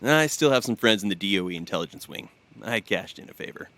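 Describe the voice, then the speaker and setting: An actor playing an evasive, wry fugitive agent in an audio drama, with a hesitant, stammering delivery. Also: male voice, lowish voice, expressive, fast talker, sharp tone, no room sound. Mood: wry, casual. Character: evasive injured male fugitive agent, wryly friendly.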